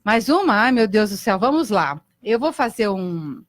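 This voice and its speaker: A woman's voice: mid-range voice, sing-song, no room sound. A woman, a singer chatting effusively as a guest on a live radio talk show. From Brazil. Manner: effusive, chatty, quick to laugh. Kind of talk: casual live radio chat.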